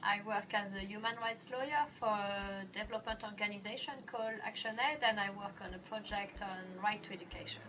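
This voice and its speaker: A woman's voice: mid-range voice, steady tone, a little fast, hardly any pauses, noisy background. A woman, answering interview questions earnestly in slightly broken English. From France. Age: 30s.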